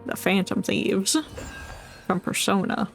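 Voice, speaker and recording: Woman, casual live game commentary, middle pitch, playful, joking, quiet music in background. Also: little pitch movement, slow pace, gravelly. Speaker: game streamer. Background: faint game music.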